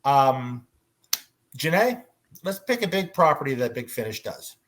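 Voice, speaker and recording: A man moderating a casual panel discussion, often pausing to search for his words. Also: medium pitch, sing-song, a bit bright, a little hiss or hum.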